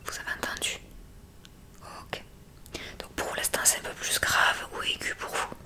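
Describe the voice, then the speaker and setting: A woman's voice: moderate pace, bright, forceful tone. Whispering woman in an ASMR hearing-exam roleplay. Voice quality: breathy unvoiced whisper. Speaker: ASMR creator playing a hearing examiner. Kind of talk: whispered ASMR medical roleplay, addressing the listener.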